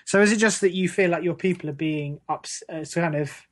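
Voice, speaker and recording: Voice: medium pitch, a little fast. A podcast co-host chatting casually in a dry, teasing, mock-earnest way. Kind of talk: casual chat.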